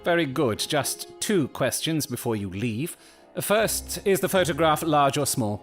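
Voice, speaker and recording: A shrewd gentleman detective with a fairly high, very animated voice. He is formal, clipped and imperious in audio drama style, with faint music behind him. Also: a little slow. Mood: courteous, probing.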